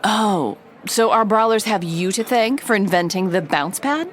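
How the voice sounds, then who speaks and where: An actress playing a confident investigative reporter hosting a true-crime podcast, with theatrical, knowing scripted delivery. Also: female voice, mid-range voice, unhurried, a little hiss or hum, natural voice. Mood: politely curious. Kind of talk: scripted dramatic podcast narration and interviewing.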